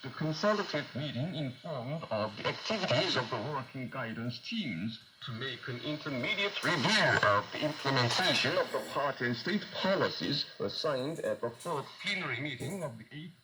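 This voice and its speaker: Noisy shortwave radio newsman, lively pitch swings. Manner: stiff, official, reading long figures and titles deliberately. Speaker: news announcer. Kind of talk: formal scripted news reading. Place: radio broadcast studio, received over shortwave.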